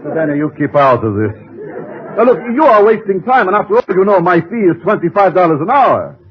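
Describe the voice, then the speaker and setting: Brisk, high-voiced radio psychiatrist. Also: male voice, sing-song, crowd noise. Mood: exasperated. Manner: officious, insistent. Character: brisk, exasperated, fee-minded male psychiatrist. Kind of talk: 1950s radio comedy acting. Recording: muffled old radio recording, faint noise.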